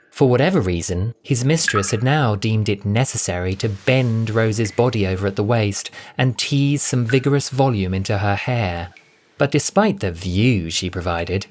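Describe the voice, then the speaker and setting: A man narrating a scripted audio story in an expressive, arch, dramatic style. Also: on the low side. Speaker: narrator and voice performer.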